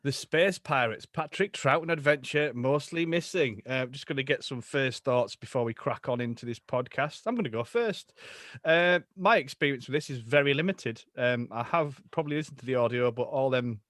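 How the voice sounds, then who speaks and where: Jokey northern English host, dry sound. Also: male voice, medium pitch, normal speed, fairly bright tone, no echo. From Northern England. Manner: jokey, laughs often, swears casually. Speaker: podcast host. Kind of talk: casual chat.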